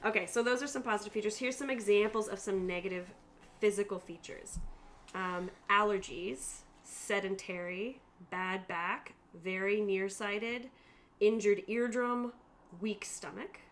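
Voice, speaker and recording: A woman's voice: medium pitch, fairly even intonation, unhurried. Woman game master, noisy room behind her. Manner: informal, explanatory, reading out lists. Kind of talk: explaining tabletop game rules to players.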